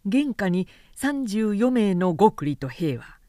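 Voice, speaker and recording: Slow, expressive low-voiced woman narrator. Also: dry recording, studio quality. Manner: measured, expressive storytelling. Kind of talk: scripted dramatic literary narration.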